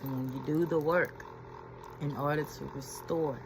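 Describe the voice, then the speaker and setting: A woman's voice: deep voice, steady tone, moderate pace, noisy background. A woman giving a reflective inspirational talk as a spiritual healing coach, repeating key phrases and laughing as she retells a story.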